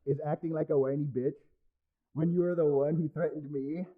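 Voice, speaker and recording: A man's voice: on the high side, fairly even intonation, normal speed, no echo, phone-quality sound, close to an everyday voice. Man voicing a snide teen boy, close dry sound. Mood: hostile, mocking. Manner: snide, grumbling. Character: abrasive sarcastic teenage boy, anonymous 4chan website personified. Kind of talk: amateur webcomic dub.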